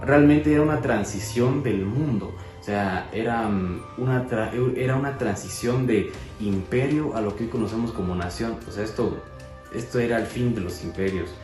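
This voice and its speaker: A man's voice: lowish voice, moderate pace, darkish tone. Hesitant man explaining history, echoey. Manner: hesitant, many fillers and restarts. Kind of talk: casual educational chat. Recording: echoey room with music.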